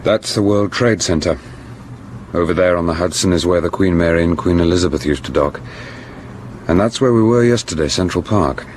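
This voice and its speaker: A man's voice: deep voice, fairly quick, a little hiss or hum, natural voice. A man acting a persistent, knowing character in a radio drama. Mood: casual, probing. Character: persistent, knowing British man playing city guide.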